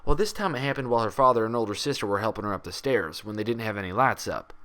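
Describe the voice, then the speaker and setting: A man's voice: fairly low pitch, animated, quick pace, fairly bright tone. A man voicing a frank, proud, friendly female pony doctor with a folksy way of talking, in a story reading. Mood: frank, explaining.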